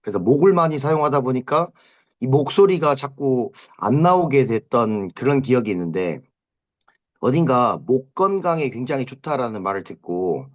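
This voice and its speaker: A man in his thirties with a mid-pitched voice, heard through dull, lo-fi online meeting audio. He gives a testimonial to a group in a halting, informal way. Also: animated. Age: 30s. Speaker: business owner giving a product testimonial.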